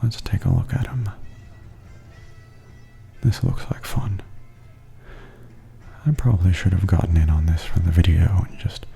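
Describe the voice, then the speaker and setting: A man giving softly spoken ASMR tour commentary in a breathy, near-whispered, gentle and unhurried murmur. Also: deep voice, animated, soft background music.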